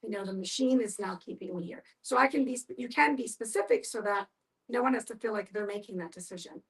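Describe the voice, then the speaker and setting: A woman's voice: mid-range voice, fast. A woman speaking emphatically and explaining things in a group discussion. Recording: voice picked up from a distance.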